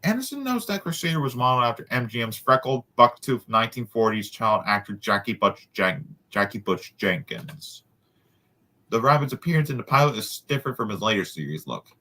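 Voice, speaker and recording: A man reads aloud in a bright voice with lively swings in pitch. He drops in casual asides, and now and then trips over a word and says it again. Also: brisk. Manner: stumbles over words and repeats them. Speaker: host of a group read-aloud.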